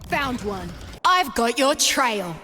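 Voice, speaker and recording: A woman's voice: a little high, very animated, slow, bright, forceful tone, a little hiss or hum, heavily acted. A woman voicing fierce female combat agents in dramatic video game lines, clipped from the game over music and effects. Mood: commanding, intense.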